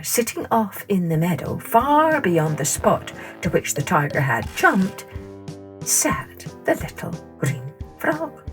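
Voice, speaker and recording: A woman narrating scripted children's folktales as a storyteller, in an expressive, measured way. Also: lowish voice. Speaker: storyteller, podcast host.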